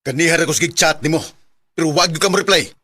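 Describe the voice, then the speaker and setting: An actor with a Bisaya accent from the Philippines, playing a jealous, clingy young boyfriend in a radio drama. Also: male voice, fairly high pitch, fast, pushed and bright, breathy edge. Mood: jealous, accusing. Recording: very noisy, clicky radio drama audio.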